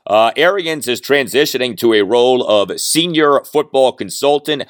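A man hosts his own sports talk podcast, his voice still recovering after losing it. He talks with lively, swooping intonation and punchy emphasis, dotted with "uh" fillers. The sound is close and dry, with no room around it. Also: medium pitch, normal speed, dry recording. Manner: animated, emphatic delivery with frequent "uh" fillers. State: recovering from lost voice.

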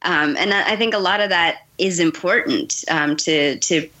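A woman speaking as a guest in a podcast conversation, in a thoughtful way with frequent pauses. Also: lowish voice, bright, forceful tone. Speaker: guest, fiction writer and teacher.

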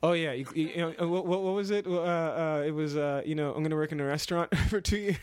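A man's voice: on the high side, fast. A young man from Kenya, an actor and dancer, talking through his path in a casual interview, rambling with many restarts and laughs.

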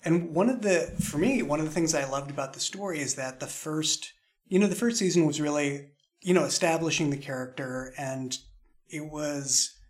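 Higher-voiced man, thoughtful creator talk. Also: normal speed. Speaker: audio drama creator. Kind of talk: reflective discussion of own writing.

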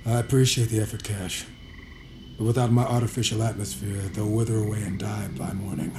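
An actor playing a grave, vengeful apothecary in an audio drama, speaking formally and precisely. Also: male voice, lowish voice, level tone, brisk, background noise, close to an everyday voice. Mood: calm, resolved.

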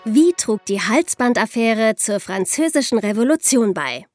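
A woman's voice: fairly high pitch, expressive, a little slow, music in the background. A woman speaking as co-host in an educational podcast conversation.

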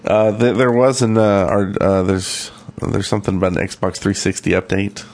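A man with a fairly low voice talks as a podcast co-host. He is conversational and opinionated, speaking off the cuff in a casual gaming discussion. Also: level tone, normal speed, a little mellow.

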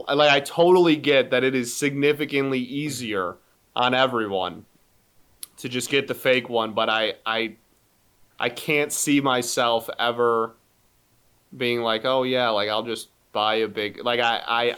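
A man's voice: medium pitch, fairly bright tone, clean tone. A man in his early twenties co-hosting a podcast, talking casually with many restarts and fillers. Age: 20s. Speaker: podcast co-host. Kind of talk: casual chat.

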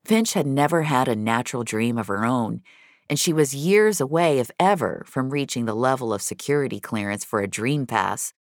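A woman's voice: rumbling low, lively intonation, normal speed, no echo, studio quality. A woman reading scripted fiction as a dramatic narrator. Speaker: narrator and reader of an audio fiction episode.

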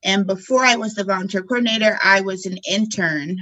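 A woman's voice: medium pitch, fairly quick, sharp tone, no room sound, low-quality recording. Sincere young woman, dry close sound. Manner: sincere, personal, repeats words for emphasis. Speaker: volunteer coordinator. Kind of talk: interview guest.

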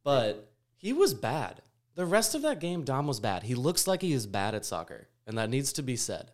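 A man's voice: medium pitch, sing-song, normal speed. A man talking casually about soccer as a podcast panelist, blunt and opinionated. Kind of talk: casual soccer post-match discussion.

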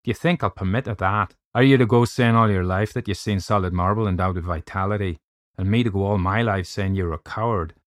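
A raw-boned young Irish doctor, acted out by a male narrator, loud and blunt in a dry, close recording. He speaks with an Irish accent and a hearty, scornful edge, teasing as he insists, like a character in an audiobook. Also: deep voice, brisk, no echo, lightly acted. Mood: scornful, emphatic.

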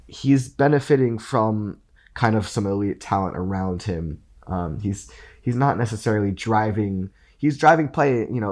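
Male guest, very low voice, just over an illness, rambling with lots of fillers. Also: darkish tone. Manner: rambling, full of fillers and restarts. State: recovering from an illness, coughing. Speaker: sports writer guest. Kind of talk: casual sports podcast chat.

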